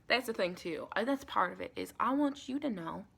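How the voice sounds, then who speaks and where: A woman playing a friendly, folksy female vampire in a soft-spoken ASMR roleplay, chatty and reassuring in a rambling, colloquial way. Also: mid-range voice, lively intonation, normal speed, gravelly, husky, heavily acted. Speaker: ASMR performer.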